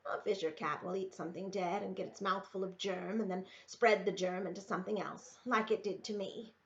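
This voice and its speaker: A woman's voice: medium pitch, steady tone, fairly quick, highs cut off, natural voice. A woman voicing an ailing, proud old backwoods woman with terse, blunt replies in a storybook. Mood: grave, matter-of-fact.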